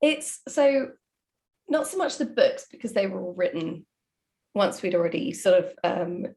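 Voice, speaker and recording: A woman's voice: mid-range voice, animated, a little slow, mellow. A woman speaking casually in a panel chat as a writer discussing the creative process. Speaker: audio drama writer.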